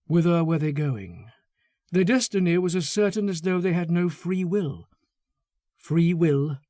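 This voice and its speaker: A man narrating a literary story as an audiobook, dramatic, deliberate and intense in his delivery. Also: on the high side, lively intonation, warm tone, no echo, studio quality.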